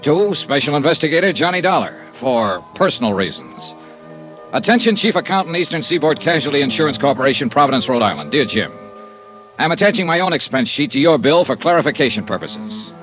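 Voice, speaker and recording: A clipped radio private eye, animated pitch. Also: male voice, fairly quick, faint music behind. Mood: brisk, official. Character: tough, plain-spoken male private insurance investigator, narrating his case. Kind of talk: 1950s radio detective drama acting.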